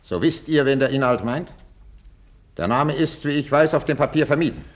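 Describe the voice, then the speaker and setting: Tormented aging king: low gravelly husky voice, imperious, suspicious, classical radio drama declamation. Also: unhurried, full character voice. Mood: outraged. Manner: declamatory, swinging between whispers and outcries. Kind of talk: 1950s radio drama acting, classical stage German. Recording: old 1950s radio recording.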